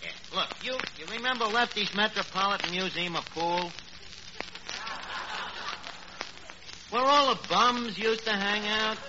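An actor playing a dim, bluffing, uneducated city man with a halting, muddled delivery, in 1940s radio comedy style. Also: high voice, lightly acted. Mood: coaxing. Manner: halting, muddled, mangling words. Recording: muffled, noisy old radio broadcast.

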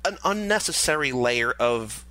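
A man co-hosting a podcast, picking apart a movie in casual chat, animated and rambling. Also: medium pitch, normal speed, slight background noise. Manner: animated, rambling with many "like" fillers. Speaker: podcast co-host.

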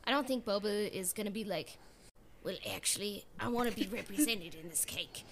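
A woman's voice: medium pitch, fairly quick, a bit bright, a little hiss or hum. A woman co-hosting a podcast, chatting casually in a playful, opinionated way. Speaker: podcast co-host. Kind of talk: casual chat.

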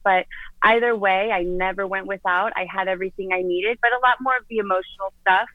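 A woman's voice: medium pitch, steady tone, fairly quick, no echo, narrow, tinny audio, soft background music. A woman from Florida, a guest on a video call, telling her own story in a candid, earnest way. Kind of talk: personal story in an interview.

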